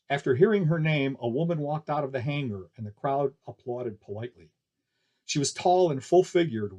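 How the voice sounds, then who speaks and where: A man's voice: medium pitch, darkish tone. A man reading a novel aloud as scripted story narration.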